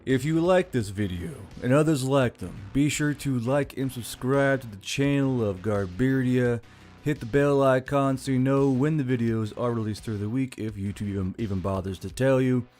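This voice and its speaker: A man's voice: mid-range voice, normal speed, a little mellow. A man hosting a channel, talking casually and directly to his viewers. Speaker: channel host. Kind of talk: casual outro talk to viewers. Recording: music bed under the voice.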